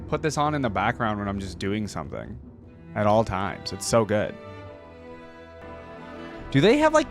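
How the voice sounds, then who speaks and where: A man hosting a reaction video, talking casually in an enthusiastic, emphatic, slangy way into a close mic. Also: mid-range voice.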